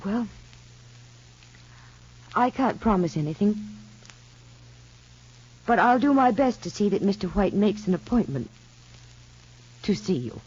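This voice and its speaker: Anxious, earnest mother for vintage soap; slightly husky, careful wording, dull broadcast sound. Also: mid-range voice, lively intonation, a little slow, pauses often, darkish tone. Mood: hesitant, pleading. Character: worried, earnest mother, a troubled wife seeking advice. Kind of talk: 1950s soap opera radio acting. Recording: muffled vintage broadcast recording.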